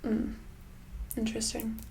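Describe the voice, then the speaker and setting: A woman playing a smug, sinister boss in a soft-spoken ASMR roleplay, casual and condescending, with a breathy voice that often slips into a whisper. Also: slight background noise, natural voice. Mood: mock-sympathetic, menacing. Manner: casual, condescending, falsely sweet, hesitant pauses. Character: smug, sinister female boss sweetly holding an employee hostage.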